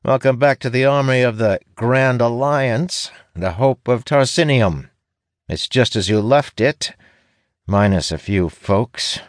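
A man's voice: mid-range voice, expressive, takes it slowly, dry recording, clean studio sound, pitched above the performer's own voice. A man voicing a breezy, sarcastic young apprentice ranger in a fantasy audiobook. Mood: sardonic. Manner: sarcastic, teasing. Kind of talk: audiobook fantasy character acting.

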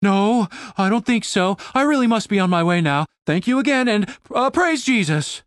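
Narrator acting out sly woman schemer, brash, casual, animated pitch, audiobook heroine, dry sound. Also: normal speed, no echo, natural voice. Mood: feigned meekness.

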